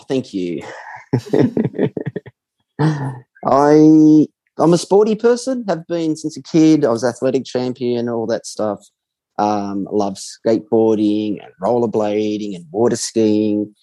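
Relaxed man with a low, dark voice. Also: no echo. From Australia. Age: 40s. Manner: relaxed, reflective, frequent pauses. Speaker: podcast guest. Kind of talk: casual interview chat.

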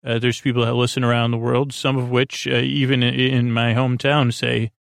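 Creaky, meandering man hosting a sleep podcast. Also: mid-range voice, little pitch movement, brisk, no room sound, clean studio sound. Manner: meandering, hesitant, stop-start; soothing, sleepy. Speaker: podcast host. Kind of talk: rambling bedtime podcast monologue.

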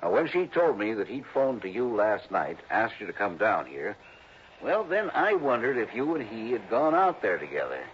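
An actor playing a genial, evasive friend of an investigator in a 1960s American radio drama, folksy and roundabout. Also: male voice, fairly low pitch, sing-song, normal speed. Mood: hesitant, grave. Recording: dull, noisy old radio recording.

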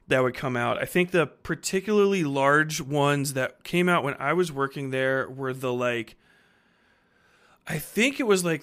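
A man's voice: mid-range voice, fairly bright tone, clean tone. A man hosting a casual podcast book discussion, talking in a rambling, conversational way with frequent swearing. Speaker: podcast host.

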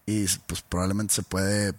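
Mexican male podcaster, low, dry, joking rambler. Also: flat delivery, moderate pace, dry recording. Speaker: podcast co-host. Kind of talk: casual chat.

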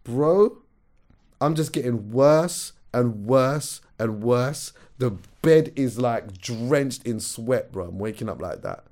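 A young man, hoarse from a recent illness, telling a story in animated, slangy casual chat as a podcast host. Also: medium pitch, slow. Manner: animated storyteller, slangy, calls everyone bro.

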